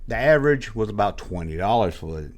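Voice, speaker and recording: A man hosting a toy collecting channel, ranting bluntly in a casual, exasperated way, his voice hoarse from being sick. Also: fairly low pitch, expressive, quick pace. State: hoarse, losing his voice after illness. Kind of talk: casual opinion rant to camera.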